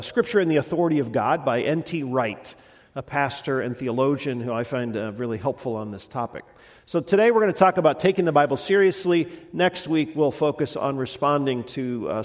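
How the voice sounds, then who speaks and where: A middle-aged male pastor with a slight head cold, preaching a sermon in a conversational, meandering way with frequent restarts. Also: a little high. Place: church sanctuary. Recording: long reverb of a church hall.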